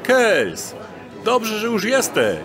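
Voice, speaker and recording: A man playing a confident thief posing as a gentleman in a fantasy audio drama, with pompous, archaic phrasing. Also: high voice, wildly swooping pitch, drawn-out words, a crowd in the background, exaggerated voice. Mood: jovial, lordly.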